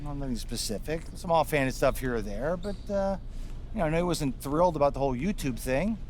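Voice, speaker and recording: An actor playing a supportive, wry American basketball coach who talks in a conversational, encouraging way in a video game scene. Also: male voice, on the high side, expressive, a little fast, darkish tone, a little hiss or hum, lightly acted. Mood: encouraging, firm. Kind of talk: naturalistic video game cutscene acting.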